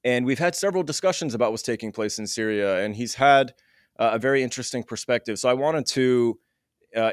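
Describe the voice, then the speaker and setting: A man hosting an interview, setting out context and questions in a measured, explanatory way. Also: medium pitch, clear, resonant tone, no room sound, studio quality. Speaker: interviewer, host.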